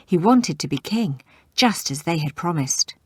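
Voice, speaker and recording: A woman's voice: fairly low pitch, animated, a little slow, slightly rough, dry recording, studio quality. A woman reading a story aloud as a scripted read-along narrator.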